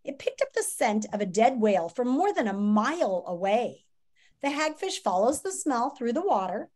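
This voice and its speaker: A woman in her fifties reading a children's nonfiction book aloud in a dramatic, teacherly way with emphatic pauses, on a video call from a room at home. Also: a little high, very animated, a little mellow. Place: small home room, indoors. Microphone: video call, computer microphone.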